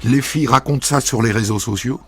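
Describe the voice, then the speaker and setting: A man telling a first-person story as a narrator, in a measured, intimate reading. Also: mid-range voice, breathy edge, dry recording. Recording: dry studio voice over soft music.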